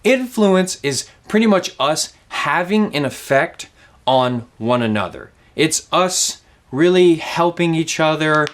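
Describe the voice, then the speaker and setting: A young man in his twenties from Louisiana with a Southern accent, teaching a playful kids' object lesson with lots of questions. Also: a little high. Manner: animated, playful, asks questions. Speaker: kids ministry teacher.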